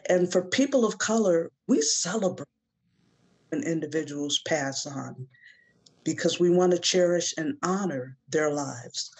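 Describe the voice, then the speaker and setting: A woman guest speaks in an earnest, measured way to a listening audience over a video call. Her voice sits a little low, and she chooses her words with care. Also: expressive, breathy edge. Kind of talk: heartfelt talk on a show.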